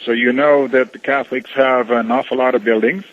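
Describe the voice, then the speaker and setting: A man on a phone call, answering casually as a church representative, in a measured, guarded, explanatory way. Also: mid-range voice, steady tone, no room sound.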